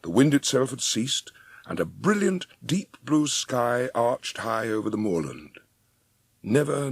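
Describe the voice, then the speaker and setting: A man's voice: on the low side, creaky, breathy edge, no room sound. A man narrating an audiobook story in a scripted, storytelling style.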